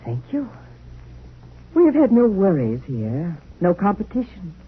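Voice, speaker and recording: An actress playing a serene, gracious, youthful wife and hostess, genteel and poised, in 1950s radio drama style. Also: female voice, medium pitch, very animated, a little slow, husky, full character voice. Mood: serene, welcoming. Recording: tinny, band-limited old radio broadcast.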